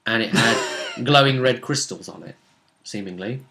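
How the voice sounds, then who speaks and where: A man's voice: medium pitch, normal speed, vocal fry, bright, forceful tone. A man acting as game master and host, casually recapping a role-playing session in a storytelling way that teases suspense.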